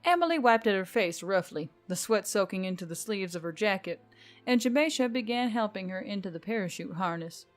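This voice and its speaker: A woman's voice: medium pitch, animated, brisk. A woman reading scripted fiction as an audiobook narrator. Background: music.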